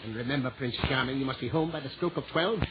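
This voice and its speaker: Admonishing male godfather played by a man, clipped radio-comedy style of the 1940s, dull vintage sound, clear noise. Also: mid-range voice, fast talker, lightly acted. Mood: nagging, warning. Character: nagging, admonishing fairy godfather. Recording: noisy, dull old 1940s radio broadcast.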